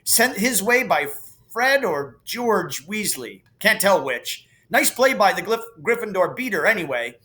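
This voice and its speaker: Excitable young male commentator for a storybook match, played by a man: high, bright, breathless, partisan. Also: unhurried, heavily acted. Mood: keen.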